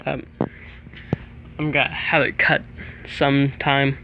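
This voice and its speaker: A teenage boy rambles on in a flat, monotone voice, stopping and restarting often and filling gaps with "um" and "like." He speaks into a microphone plugged into a tablet at home. The recording sounds dull and lo-fi, with faint hiss underneath. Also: medium pitch. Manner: rambling, halting, many fillers and restarts. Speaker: vlogger. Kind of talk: rambling early-morning vlog. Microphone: plug-in microphone on a tablet.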